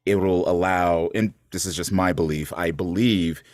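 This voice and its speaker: Deep-voiced, informal man. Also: steady tone, normal speed, darkish tone, no echo, studio quality. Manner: informal, thoughtful, frequent 'like'. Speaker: performer on a panel. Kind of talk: casual, sincere panel conversation.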